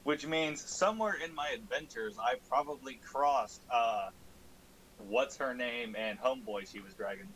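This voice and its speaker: A man's voice: mid-range voice, measured pace, low-quality recording. A man in a casual, informal chat, recapping a tabletop game as a player and swearing lightly. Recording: steady audible background noise.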